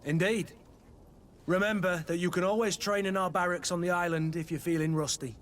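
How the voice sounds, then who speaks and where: An actor playing a gruff, loyal mercenary weapons master in a video game, wry and bantering. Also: male voice, high voice, steady tone, brisk, slight background noise, lightly acted.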